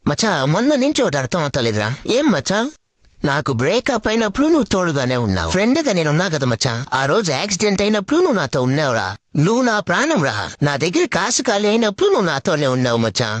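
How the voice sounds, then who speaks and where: A man voicing brash young slacker friends in a Telugu cartoon comedy skit, bantering in crude, excitable slang. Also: sits high, racing, no room sound. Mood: exasperated.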